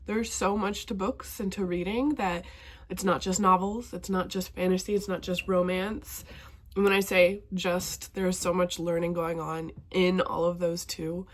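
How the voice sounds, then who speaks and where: A woman's voice: fairly low pitch, flat delivery, unhurried. A young woman vlogging casually about books, chatty and enthusiastic, her voice a bit stuffy from a cold. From northwestern Montana. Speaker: BookTube vlogger. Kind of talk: casual talking-to-camera vlog.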